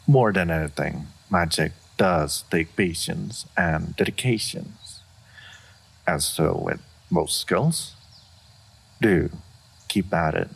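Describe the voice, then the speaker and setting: A man playing an aloof dragon in human form, speaking formally and with deadpan reserve in a fantasy roleplay audio. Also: deep voice, vocal fry, breathy edge, exaggerated voice. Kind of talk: scripted fantasy roleplay audio addressed to the listener.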